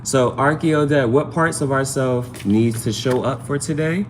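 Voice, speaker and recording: A man's voice: mid-range voice, a little slow, a little hiss or hum. A man hosting a casual tarot livestream, chatting informally to viewers and rambling, often repeating phrases for emphasis. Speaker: livestream host and amateur tarot reader.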